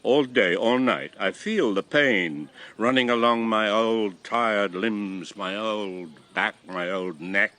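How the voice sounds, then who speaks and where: A man's voice: fairly low pitch, takes it slowly, few pauses, sharp tone. An actor playing an old former king and grandfather, a solemn, impassioned convert to a god, in a classical radio drama. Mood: weary, earnest. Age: old, past retirement. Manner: solemn, impassioned, theatrical delivery.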